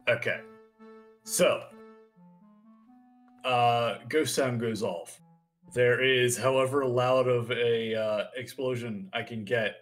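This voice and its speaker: A man talking casually and haltingly as a player in a tabletop roleplaying game. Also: medium pitch, steady tone, soft background music.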